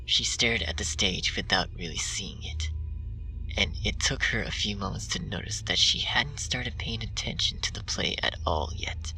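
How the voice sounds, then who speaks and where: A man narrates dark fan fiction in a hushed, half-whispered voice. His tone is bright with a gravelly rasp. He sounds a bit distant from the mic, with faint music underneath. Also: deep voice. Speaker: story reader.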